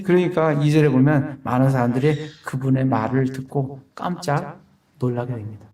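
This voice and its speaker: A man's voice: mid-range voice, dark tone, distant mic. A man preaching a sermon as pastor, explaining a passage verse by verse and asking rhetorical questions.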